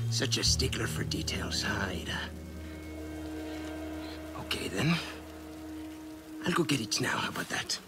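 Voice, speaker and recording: An actor playing a sly, roguish researcher in a video game cutscene, teasing, cocky and evasive. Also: male voice, fairly low pitch, sing-song, takes it slowly, pauses often, over music. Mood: wry. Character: sly, roguish adult male researcher bargaining for survival.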